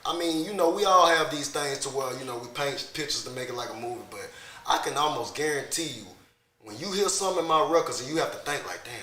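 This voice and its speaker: A man's voice: on the high side, fast, sharp tone. A young man, a rapper, talking casually and laid-back in an interview, often checking in with "you know". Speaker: rapper guest. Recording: roomy echoey room.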